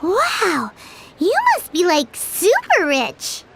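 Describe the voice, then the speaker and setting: A woman voicing a tiny, excitable floating fairy companion who speaks of herself in the third person, in a high, squeaky, childlike voice for a video game. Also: slow, vocal fry, heavy background noise, heavily acted. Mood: amazed.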